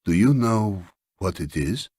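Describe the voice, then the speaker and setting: A man voicing a detached, dreamy husband who speaks softly and tersely in an audiobook story. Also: low voice, animated, a little slow, slightly rough, no room sound, clean studio sound, exaggerated voice. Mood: dreamy, cryptic. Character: quiet, distracted, dreamy husband, detached and cryptic.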